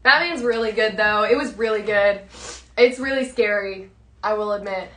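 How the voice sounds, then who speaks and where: A young woman, the host's daughter, chatting casually and telling animated stories full of "like" and "literally.". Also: mid-range voice, normal speed, reverberant. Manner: animated storyteller, says "like" and "literally" often. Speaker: co-host, daughter of the host. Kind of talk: casual chat.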